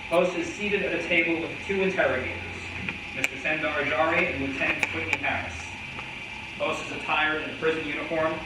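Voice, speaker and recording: Male narrator, slightly high pitch, scripted stage directions, very reverberant room, distant noisy recording. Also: fairly even intonation, brisk. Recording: very echoey hall, far mic, loud noise.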